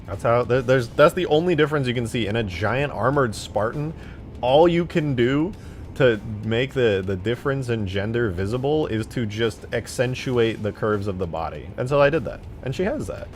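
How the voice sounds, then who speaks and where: A man's voice: medium pitch, expressive, normal speed, soft background music. A man livestreaming as a game modder, chatting casually in a rambling, jokey way as he answers viewers. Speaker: live streamer and game modder.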